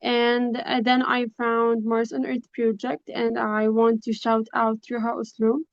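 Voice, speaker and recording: A woman's voice: on the high side, monotone, a little slow, no echo. A young woman from Lebanon, introducing herself informally as a guest speaker on a video call. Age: young adult. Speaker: guest speaker, project coordinator. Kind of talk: informal self-introduction at a webinar. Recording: dry but dull, lo-fi video call.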